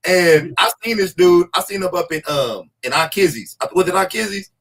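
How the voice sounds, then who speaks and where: A man in his forties from Los Angeles, telling a story in animated, laughing casual chat with plenty of swearing. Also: high-pitched, normal speed, slight background noise. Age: 40s. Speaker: podcast host.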